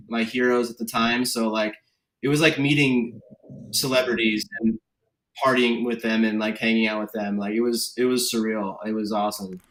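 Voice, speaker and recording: A relaxed man in his thirties, from Connecticut, talking as a podcast guest. His speech wanders and is full of "um" and "like", at an ordinary middle pitch. The room around him sounds echoey. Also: a little hiss or hum. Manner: laid-back, rambling with many fillers. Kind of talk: casual conversation. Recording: roomy echo.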